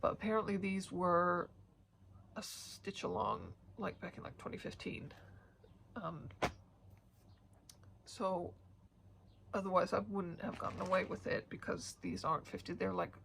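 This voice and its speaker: A woman's voice: on the low side, expressive, unhurried, vocal fry, pauses often, a bit bright, slight background noise. A woman vlogging about her stitching in a rambling casual chat, sniffly and stuffed up, with many hesitant fillers.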